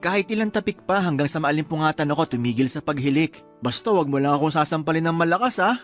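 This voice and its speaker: An actor playing a playful, loving young husband in a radio drama. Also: male voice, fairly high pitch, lively intonation, quick pace, faint music behind, natural voice. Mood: teasing.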